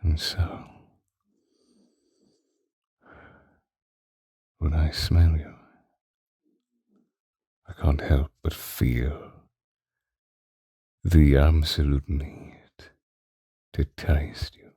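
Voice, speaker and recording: A man playing a possessive, doting ancient vampire lover in a breathy, intimate ASMR roleplay, close to the microphone. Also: little pitch movement, dry recording, full character voice. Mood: hungry, seductive. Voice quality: very deep, dark, husky, breathy, often whispering. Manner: slow, intimate, old-fashioned romantic wording. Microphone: close microphone, dry.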